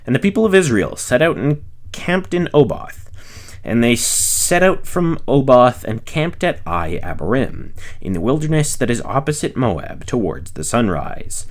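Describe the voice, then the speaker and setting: A man reading scripture aloud phrase by phrase, in a measured narrating manner with the occasional stumble. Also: medium pitch. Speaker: reader, narrator.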